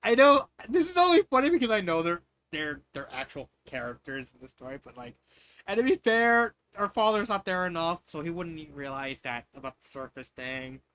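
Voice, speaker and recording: A man's voice: a little high, big pitch swings, brisk, a bit bright, clean tone, no echo. A man reading a story aloud in a loose, jokey way, often breaking off into casual asides. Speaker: fanfiction reader.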